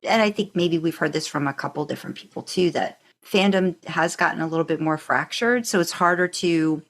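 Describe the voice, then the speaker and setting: A woman with a slightly low-pitched voice chats casually as a podcast co-host. She rambles along in loose, informal fan talk and tends to repeat her phrases as she goes. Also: brisk.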